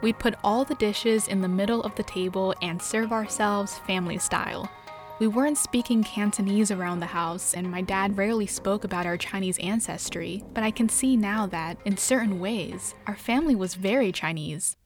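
A young woman from New York narrates memories of her upbringing in a plain, reflective voice of middle pitch. Her delivery is scripted and even. Soft music plays faintly underneath. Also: moderate pace. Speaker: journalist and podcast apprentice.

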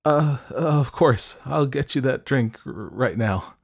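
A man's voice: medium pitch, fairly even intonation, a little slow, pitched above the performer's own voice. A man voicing a smitten, shy teenage girl who stammers hesitantly in an audiobook story. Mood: nervous, stammering. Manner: stammering, hesitant, breathy hushed asides.

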